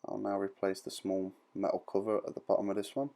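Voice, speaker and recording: A man's voice: sits low, completely flat delivery, quick pace, gravelly, mellow. A man giving an informal step-by-step tutorial voice-over in a plain-spoken, reassuring, explanatory manner. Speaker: repair tutorial presenter.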